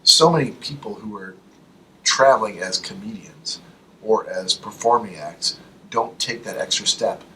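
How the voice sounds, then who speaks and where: A man's voice: lowish voice, measured pace, pushed and bright. A man co-hosting a casual podcast, ranting in an emphatic, profane way. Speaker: podcast co-host. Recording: distant mic, faint background noise.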